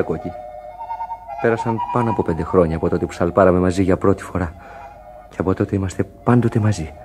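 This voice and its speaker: An actor playing a rough, loyal sailor in an old radio drama, gruff and given to swearing, swinging between hushed grief and anger. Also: male voice, fairly low pitch, natural voice. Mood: grieving. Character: loyal rough sailor mourning a dying shipmate. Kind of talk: vintage radio drama acting.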